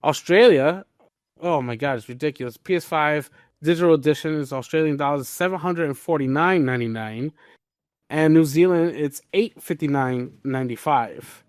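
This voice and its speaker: Guy hosting a gaming news podcast, kinda high voice, emphatic and casual, really dry sound. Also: moderate pace, a little mellow, no echo. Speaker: podcast host.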